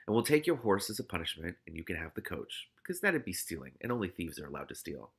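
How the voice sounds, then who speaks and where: A man's voice: low voice, very animated, fast talker, creaky, fairly bright tone, husky, pitched below the performer's own voice, full character voice. A man reading aloud as a scheming, streetwise male cat, cocky and glib. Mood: bossy. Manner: wheedling, bossy, glib.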